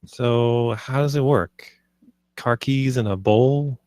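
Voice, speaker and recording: A man's voice: mid-range voice, slow, darkish tone, no room sound, close to an everyday voice. A British man reading the part of a nervous, earnest husband in a film script, with a hesitant, understated delivery. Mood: curious, uneasy. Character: nervous, earnest husband proposing swinging to his wife. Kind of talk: film script table read, naturalistic dialogue.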